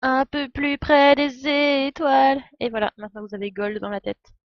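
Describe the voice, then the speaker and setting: A woman's voice: sits high, moderate pace, no room sound, muffled recording. A woman doing casual live video game commentary, playful and joking, with slangy talk. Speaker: game streamer. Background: faint video game music and dialogue.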